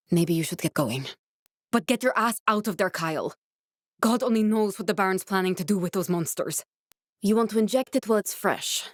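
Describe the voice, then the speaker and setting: Game role: guarded, earnest woman scientist played by an actress, medium pitch, naturalistic measured delivery, studio-dry sound. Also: dry recording, lightly acted. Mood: urgent, cautioning. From born in Norway, raised in Glasgow (character). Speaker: video game character voice. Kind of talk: naturalistic video game dialogue acting.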